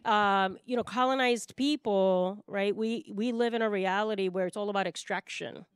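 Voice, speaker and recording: A woman's voice: mid-range voice, normal speed, clear, resonant tone, dry recording. Emphatic woman from Puerto Rico, dry sound. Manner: emphatic, often checks in with "right?". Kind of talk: panel discussion, impassioned unscripted talk.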